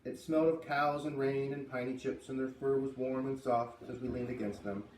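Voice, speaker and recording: A man's voice: mid-range voice, fairly even intonation, normal speed, darkish tone. Man reading a storybook aloud, echoey room. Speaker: storybook reader. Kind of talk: expressive children's book read-aloud. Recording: roomy, slightly distant, faint background noise.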